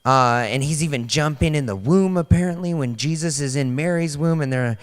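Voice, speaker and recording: Young guy preaching on a big stage, kinda hoarse, lots of ums, mic echoing round the hall. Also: fairly high pitch, normal speed. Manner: loose, chatty, many fillers and restarts. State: voice partly lost, slightly hoarse. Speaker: pastor. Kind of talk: casual sermon. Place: large dark stage in a church hall. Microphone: handheld mic. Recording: long hall reverb.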